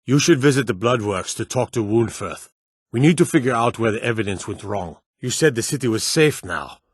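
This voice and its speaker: Weary fantasy soldier for a video game: husky, dry close sound, gruff and measured. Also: male voice, medium pitch, animated, dry recording. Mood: exasperated. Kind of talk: video game character dialogue.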